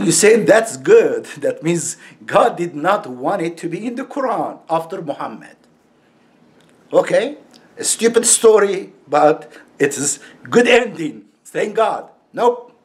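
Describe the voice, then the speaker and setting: A man's voice: sits high, big pitch swings, slow, a little mellow. A man with a heavy Turkish accent and broken English, giving a casual, scornful monologue to camera and laughing mockingly. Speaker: YouTube commentator.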